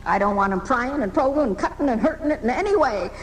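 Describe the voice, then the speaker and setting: A woman's voice: fairly high pitch, expressive, quick pace, close to an everyday voice, poor audio. An actress playing an indignant old maiden lady in a live radio drama, haughty, scolding and theatrical. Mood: prim, stubborn. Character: indignant, feisty old maiden lady reclaiming her own body. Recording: home-taped 1980s radio broadcast, music behind.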